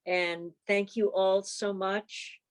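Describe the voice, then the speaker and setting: Unhurried, effusive woman chairing a meeting. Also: mid-range voice, flat delivery, clear, resonant tone. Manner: effusive, appreciative. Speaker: club meeting host. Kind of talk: informal closing thanks at a meeting. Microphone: video call.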